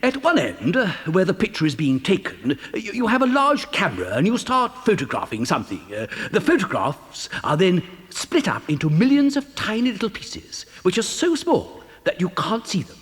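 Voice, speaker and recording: A man playing an eccentric, excitable chocolate factory owner and inventor in a children's audio drama, theatrical, with stammering, hesitant repetitions. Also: high-pitched, moderate pace, few pauses. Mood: showy, explaining. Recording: long hall-like reverb.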